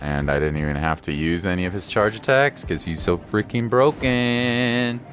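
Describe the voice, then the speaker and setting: A man's voice: lowish voice, moderate pace, fairly bright tone. A man giving casual, playful livestream commentary over gameplay, often repeating his phrases for emphasis. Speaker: game streamer. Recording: game music behind voice. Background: game music.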